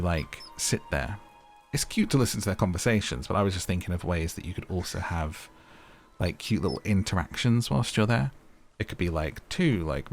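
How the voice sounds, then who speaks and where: A man with a fairly low voice chats casually over a video game. He muses aloud in an easy, talkative way, with soft music behind him. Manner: chatty, musing aloud. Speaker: gaming YouTuber. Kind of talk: casual gameplay commentary.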